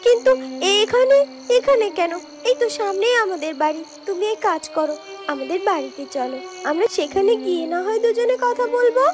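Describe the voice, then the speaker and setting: Woman doing an innocent young cartoon girl, extremely high pitch, hesitant, earnest, music behind. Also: expressive, brisk. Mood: startled, polite. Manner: hesitant, repeating words. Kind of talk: Bengali cartoon story dialogue.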